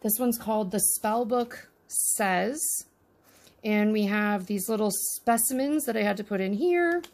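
A woman's voice: mid-range voice, a little slow, a little mellow. A woman casually narrating a miniature unboxing with chatty, wry asides. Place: indoors at a tabletop.

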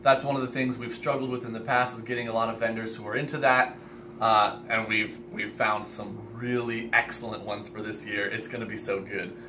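A man's voice: fairly low pitch, a little fast. A man in his twenties or thirties making a casual, earnest and enthusiastic announcement to camera as a vlog host. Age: 20s to 30s. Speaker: host, reptile expo organizer. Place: indoor room lined with reptile racks. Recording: steady ambient room noise.

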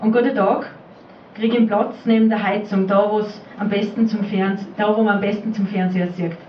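A woman's voice: mid-range voice, fairly even intonation, fairly quick. A woman with an Austrian accent performing a spoken-word dialect piece at a poetry slam, speaking as an elderly rural mother. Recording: roomy, distant, muffled live recording, faint noise.

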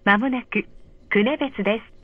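A woman reading a polite, measured scripted announcement over a train's speakers, clearly enunciated. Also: on the high side, soft background music. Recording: dull, muffled train speaker recording.

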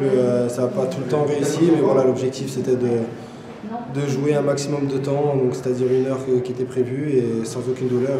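A man giving a halting post-match interview, repeating words, speaking into a handheld mic in a stadium corridor. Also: mid-range voice, echoey room, rough recording. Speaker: footballer.

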